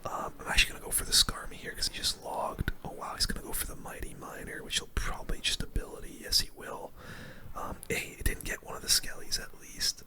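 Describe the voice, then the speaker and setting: A person giving ASMR gameplay commentary in a breathy whisper, using casual gamer slang. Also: fairly quick. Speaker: gaming video host.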